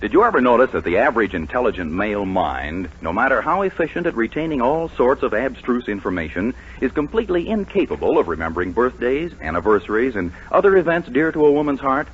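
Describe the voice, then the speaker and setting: A man's voice: mid-range voice, very animated, fast, few pauses, slight background noise. An American man announcing and selling on a 1940s radio show, with polished, emphatic delivery. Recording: muffled old 1940s radio broadcast.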